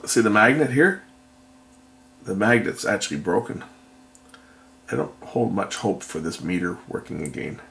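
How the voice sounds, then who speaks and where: Male workshop vlogger, fry-heavy and fairly deep, musing tentatively through a hands-on repair. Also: fairly bright tone. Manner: thinking aloud, tentative and unhurried. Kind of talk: casual hands-on repair commentary. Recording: noticeable background noise.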